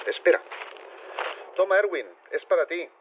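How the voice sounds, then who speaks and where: An actor playing an unruffled zoology lab worker, matter-of-fact and deadpan, in a naturalistic audio comedy. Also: male voice, high-pitched, normal speed, a little hiss or hum. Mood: deadpan, helpful. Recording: muffled phone-like line.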